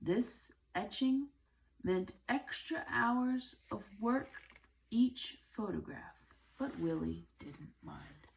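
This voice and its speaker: A woman reading a children's book aloud in a measured way, with deliberate phrasing and pauses. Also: mid-range voice, lively intonation, gravelly, rough recording. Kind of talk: read-aloud storybook narration.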